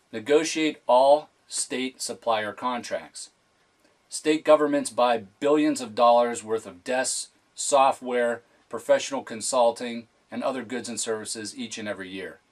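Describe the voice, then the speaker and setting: A man giving a prepared political speech as a candidate, in a formal, emphatic, point-by-point manner. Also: medium pitch. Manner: formal, emphatic enumerated delivery.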